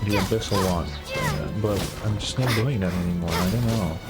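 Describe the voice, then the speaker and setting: A man's voice: lowish voice, fairly quick. A man streaming a game with casual, offhand, sweary commentary, reading some of the text aloud. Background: game music.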